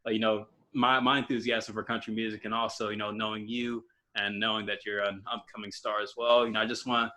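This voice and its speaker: Young man hosting with halting fillers. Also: on the low side, flat delivery, bright, forceful tone. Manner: halting, many fillers and restarts. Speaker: podcast host. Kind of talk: casual interview chat.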